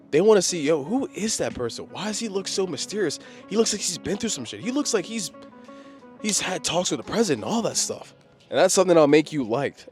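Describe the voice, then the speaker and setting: A young man talks straight to his viewers in a relaxed, conversational way, sometimes restarting a phrase mid-thought. His voice sits at an ordinary middle pitch and sounds a little congested from a cold. Faint music plays quietly underneath. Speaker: self-improvement YouTuber. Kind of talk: casual advice monologue to camera.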